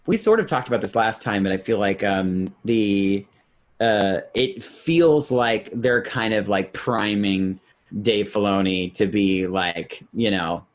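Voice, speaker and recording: A man's voice: fairly low pitch, takes it slowly. A man co-hosting a podcast in casual chat, easygoing, agreeable and playful in manner. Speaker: podcast co-host.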